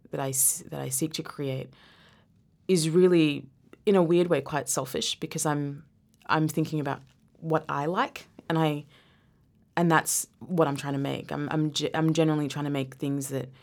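A woman who grew up in Zambia talks as a guest in a casual interview. Her voice sits low and has a gravelly fry. She thinks aloud, restarts her sentences and laughs easily. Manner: reflective, many restarts and laughs.

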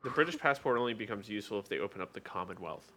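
A man's voice: fairly low pitch, expressive, racing, bright, forceful tone. A man co-hosting a casual chat show, musing aloud with wry asides.

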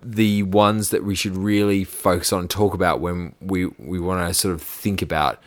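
A man's voice: deep voice, fairly even intonation, normal speed, dry recording. An Australian man co-hosting a podcast, chatting casually and hesitantly as he searches for his words. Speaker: podcast co-host. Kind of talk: casual whisky podcast chat.

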